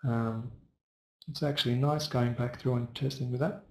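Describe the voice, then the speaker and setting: A man's voice: mid-range voice, fairly even intonation, normal speed, a little mellow, a little hiss or hum. A man hosting a casual live trading webinar, chatting loosely and answering viewers by first name. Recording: roomy echo.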